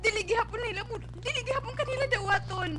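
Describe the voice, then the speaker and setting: Very high-pitched, distraught young daughter. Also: female voice, fast talker. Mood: anguished, tearful. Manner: tearful, pleading, emphatic. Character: distraught, defiant young pregnant daughter in love. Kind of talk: melodramatic Philippine radio drama acting. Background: dramatic music.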